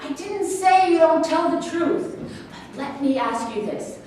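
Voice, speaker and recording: A composed female evaluator played by an actress asks measured, probing questions in a stage play reading. Her voice is a little high and lively in intonation, heard from a distance in a very reverberant room. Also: soft background music. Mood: measured, questioning. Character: composed female doctor assessing a defendant's sanity. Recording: echoey room, distant mic, faint noise.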